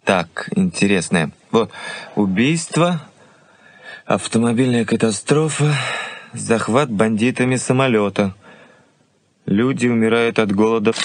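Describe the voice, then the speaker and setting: An actor playing an earnest, skeptical young fiancé in a Soviet radio play, speaking in a measured, dry way. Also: male voice, mid-range voice. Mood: troubled, earnest.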